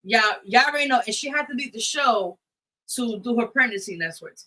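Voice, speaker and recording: Bright, forceful New woman hosting radio. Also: a little high, expressive, fairly quick, poor audio. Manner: emphatic, blunt, swearing. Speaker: radio show host. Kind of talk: casual live talk show commentary.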